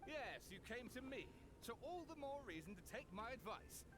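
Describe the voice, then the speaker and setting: A man's voice: extremely high pitch, big pitch swings, normal speed, music in the background. A man playing an eager, boastful young researcher in a video game, theatrical and self-important. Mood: self-assured. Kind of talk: video game character acting.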